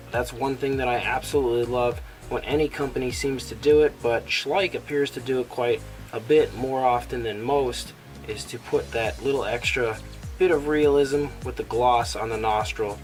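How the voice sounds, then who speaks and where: A man hosting a toy review, his voice a little raspy from a cold, talking enthusiastically with frequent "uh" fillers. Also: medium pitch, fairly even intonation, moderate pace, soft background music. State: sick with a cold, slightly raspy. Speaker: toy reviewer, channel host. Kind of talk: casual product review.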